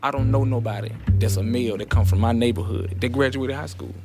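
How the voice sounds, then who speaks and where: A man's voice: mid-range voice, fairly even intonation, fast talker, music in the background. A man from Birmingham, Alabama, with a Southern US accent, explaining things in colloquial conversation. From Birmingham, Alabama, USA. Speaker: prison poetry student. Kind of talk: conversational discussion.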